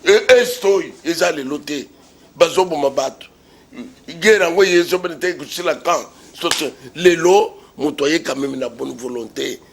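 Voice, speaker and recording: A man's voice: on the high side, measured pace, gravelly, slight background noise. A man with a Congolese accent arguing politics in an interview, emphatic and repetitive, full of rhetorical questions. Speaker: political commentator being interviewed. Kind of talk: impassioned political argument in an interview.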